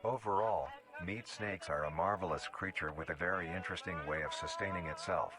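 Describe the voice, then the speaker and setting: A man reading scripted documentary-style narration in a formal, measured, explanatory manner. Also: low-pitched. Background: background music.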